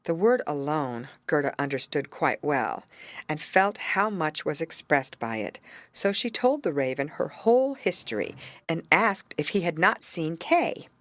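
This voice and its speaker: A woman reads a fairy tale aloud for an audiobook. Her voice sits fairly low but rises and falls with great expression. The recording is dry, with no room sound. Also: big pitch swings, unhurried, fairly bright tone, dry recording. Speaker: audiobook reader.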